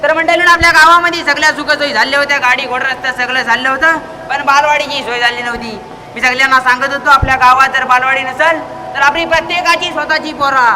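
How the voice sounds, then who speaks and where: Young performer as very high, bright village speechmaker. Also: steady tone, fast. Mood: declamatory. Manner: oratorical, recited, addressing the villagers. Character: earnest village speechmaker repeatedly restarting his rehearsed speech. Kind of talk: declamatory school stage comedy acting in Marathi. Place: live stage at a school event. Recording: noisy live school stage recording.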